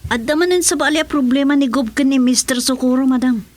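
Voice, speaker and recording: A woman's voice: high voice, fast talker, darkish tone, close to an everyday voice. A Filipino woman playing a chatty, deferential aide in a radio drama, with a playful manner. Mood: gossipy.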